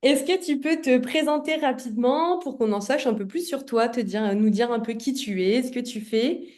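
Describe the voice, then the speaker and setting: A woman's voice: fairly high pitch, moderate pace, darkish tone. A woman hosting a podcast, asking questions in a friendly, casual way with enthusiastic reactions. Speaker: podcast host.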